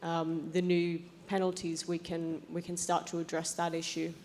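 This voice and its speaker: A young woman with an Australian accent presents prepared remarks on a panel. Her voice sits on the low side and stays very even in pitch, with little rise or fall. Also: moderate pace, a little hiss or hum. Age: young adult. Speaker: panelist, transport policy advisor. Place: conference assembly stage, indoors.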